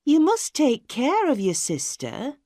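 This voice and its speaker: A woman's voice: high voice, wildly swooping pitch, slow, mellow, dry recording, studio quality, pitched above the performer's own voice, heavily acted. A woman voicing a fussy, scolding middle-aged mother in a storybook reading.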